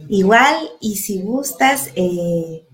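A woman's voice: medium pitch, lively intonation, extremely slow. A woman moderating an online meeting on a video call. She greets the audience, reads introductions and talks in a friendly way with many small fillers. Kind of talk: moderating a virtual panel, reading introductions.

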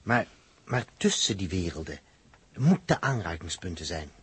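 A man acting an earnest, solemn space crewman who reasons deliberately, in a 1960s radio drama. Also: low-pitched, sing-song, slightly rough, full character voice. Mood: grave, urgent. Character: earnest, reasoning male space crewman explaining grave odds. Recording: noisy 1960s radio recording.

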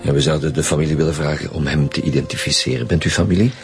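A man with a Flemish accent playing a gruff, teasing homicide commissioner in a colloquial radio drama. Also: extremely low pitch, expressive, very fast, soft background music, full character voice. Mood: formal. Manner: colloquial, wry. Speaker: police commissioner.

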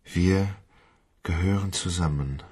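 A man's voice: low voice, warm tone, breathy edge, exaggerated voice. An actor playing an odd, hesitant man devoted to his mobile phone in a radio play. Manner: hesitant, halting.

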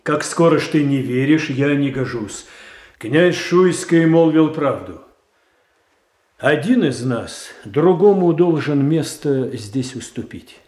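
Echoing, measured proud statesman. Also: on the high side, lively intonation, a little mellow. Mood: wounded dignity. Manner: formal, measured, firm. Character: proud, controlled, calculating statesman, the tsar's brother-in-law. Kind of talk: theatrical radio drama acting.

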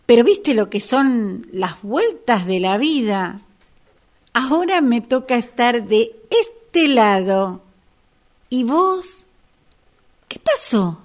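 Self-possessed Argentine woman female actor for radio drama, slow and deliberate, highly expressive intonation. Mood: bitter, gloating. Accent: Argentine, Rioplatense. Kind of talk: radio drama workshop acting.

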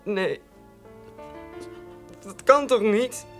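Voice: medium pitch, drawn-out words, fairly bright tone, soft background music. Shocked, stammering boy. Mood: shocked, disbelieving. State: distressed, stammering. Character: shocked, bewildered bereaved boy. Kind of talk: radio drama acting.

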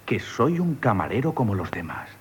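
Sulky jealous waiter, animated, husky. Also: male voice, lowish voice, moderate pace, full character voice. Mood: jealous, hurt. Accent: Castilian Spanish. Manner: plain-spoken, aggrieved, grumbling. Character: jealous, sulky waiter in love with his mistress. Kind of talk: theatrical radio comedy acting.

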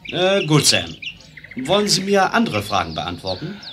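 A man's voice: fairly high pitch, huge pitch swings, moderate pace, noisy background. An actor playing a stern, commanding western lawman in a radio play. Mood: insistent, sober. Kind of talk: German 1970s western radio play acting.

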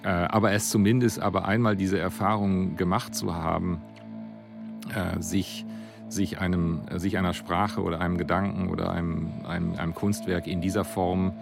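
A man in spontaneous reflective discussion, halting and searching, repeating words as he forms his thought. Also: sits low, level tone. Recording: music playing clearly underneath.

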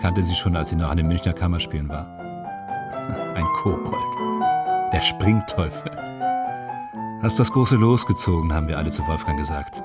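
A man's voice: low-pitched, moderate pace, pauses often, over loud music, close to an everyday voice. A man acting in a radio drama as a vain, wistful stage and film actor from 1930s Germany, musing theatrically in clipped fragments. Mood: melancholy reminiscing. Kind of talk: radio drama monologue and dialogue.

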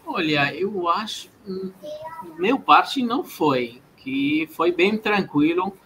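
A man's voice: sits high, lively intonation, takes it slowly, pushed and bright, a little hiss or hum. A man who learned Portuguese as a second language, talking hesitantly with many restarts during a live stream chat. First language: not Portuguese. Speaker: guest developer.

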